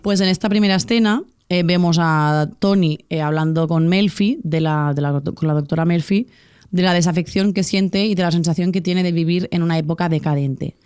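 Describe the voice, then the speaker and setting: A woman's voice: fairly low pitch, moderate pace, darkish tone, smooth, no room sound, clean studio sound. A woman hosting a podcast, delivering a scripted cultural commentary in an essay-like way with the odd filler. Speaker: podcast host.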